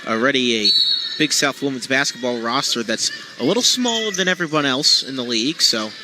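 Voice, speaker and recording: A man's voice: medium pitch, animated, brisk. A man giving analytical color commentary at a live basketball game, explaining plays in detail. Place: courtside in a basketball arena. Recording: noisy live arena broadcast.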